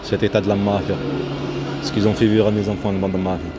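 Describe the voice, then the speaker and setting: A man giving a casual, rambling vlog commentary in a halting, repetitive way, often breaking off his own sentences. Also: lowish voice, flat delivery. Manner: halting, repetitive, self-interrupting. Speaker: vlogger. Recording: noisy outdoor recording with vehicle sounds.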